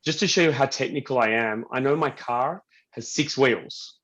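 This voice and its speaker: A man with an average-pitched voice chats on an online panel. His delivery is casual and anecdotal. He comes across as self-effacing and jokey. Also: normal speed, fairly bright tone, lo-fi sound. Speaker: webinar panelist, business-side tech salesman. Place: online webinar.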